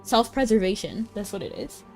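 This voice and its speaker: A woman's voice: medium pitch, animated, fairly quick, a little mellow. A woman streamer giving casual, playful running commentary on a game story in a chatty, slangy way. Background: faint game music.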